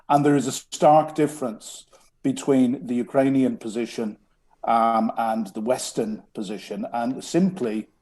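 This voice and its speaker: A man's voice: medium pitch, mellow. A man with a Dublin Irish accent, a guest on a radio interview, talking earnestly with many hesitations and restarts. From Ireland. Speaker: guest, former strategic communications advisor.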